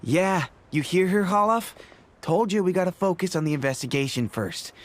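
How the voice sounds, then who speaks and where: A man's voice: high-pitched, lively intonation, moderate pace. A man acting a good-natured, practical mercenary scout in a video game. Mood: amused. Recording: music behind the voice.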